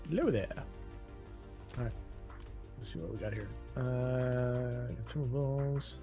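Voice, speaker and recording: A man's voice: medium pitch, measured pace, music in the background. A man in his thirties, a streamer in casual chat while gaming, laid-back, laughing often and trailing off mid-sentence.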